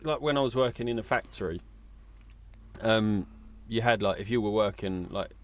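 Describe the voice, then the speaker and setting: A man's voice: on the low side, moderate pace. A man co-hosting a podcast in a casual, conversational style, telling things informally. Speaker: podcast co-host.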